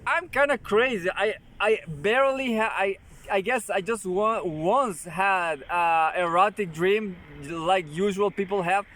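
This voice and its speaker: Slow, high-voiced man reading with restarts. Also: fairly bright tone. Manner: halting, with frequent restarts and joking asides. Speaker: channel host reading articles aloud. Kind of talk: casual commentary while reading an article.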